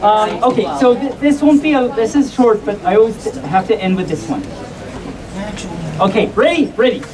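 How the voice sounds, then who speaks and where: A woman's voice: a little high, animated, moderate pace, a crowd in the background. A woman reading comic bedtime stories to a live audience, playful and often interrupting herself with chatty asides. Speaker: live storyteller. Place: hotel convention room before a live audience. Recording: distant mic, noisy audience around.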